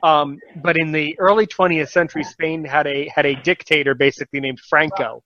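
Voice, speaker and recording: A man's voice: fairly high pitch, steady tone, a little fast, pushed and bright, no echo, lo-fi sound. A man hosting a casual podcast talk show, encouraging and rambling, often correcting himself mid-sentence.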